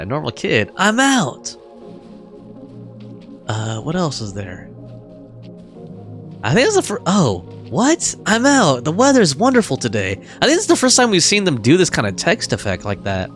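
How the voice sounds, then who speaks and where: A woman's voice: deep voice, huge pitch swings, moderate pace, long pauses, soft background music. A woman doing casual live game commentary, slangy and wondering aloud as she reacts. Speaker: game streamer.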